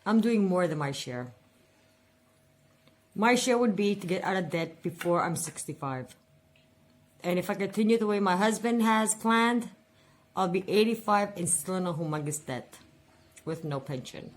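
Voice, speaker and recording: A woman's voice: on the low side, lively intonation, moderate pace, long pauses. A woman in her forties venting and rambling in a casual personal budget vlog, repeating words for emphasis. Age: 40s. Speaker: budget vlogger.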